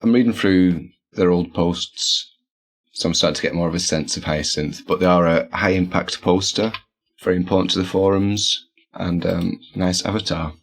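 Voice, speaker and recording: A man's voice: low-pitched, steady tone, normal speed, creaky. A man co-hosting a podcast, chatting casually and dropping short, dry remarks. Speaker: podcast co-host. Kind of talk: casual chat.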